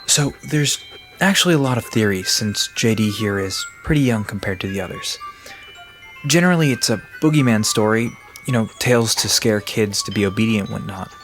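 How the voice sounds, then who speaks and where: A woman's voice: extremely low pitch, animated, fairly quick, soft background music. A woman playing an easygoing, knowledgeable park guide in an audio drama, casual and reassuring as they explain things. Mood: explanatory.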